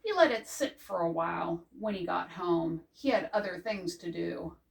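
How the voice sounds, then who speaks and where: An older woman reading a children's picture book aloud as a librarian, in a measured, careful storytelling manner. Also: fairly low pitch, lively intonation, gravelly. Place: indoors before a green backdrop. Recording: distant microphone.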